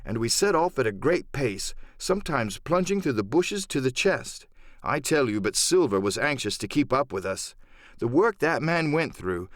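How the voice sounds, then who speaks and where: A man's voice: mid-range voice, no echo, clean studio sound. A man narrating an adventure novel in a dramatic first-person storytelling style. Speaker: audiobook narrator and voice actor.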